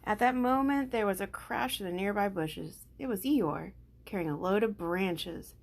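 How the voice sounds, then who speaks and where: A woman's voice: mid-range voice, normal speed, vocal fry. Woman reading a children's storybook aloud. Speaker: amateur storybook reader.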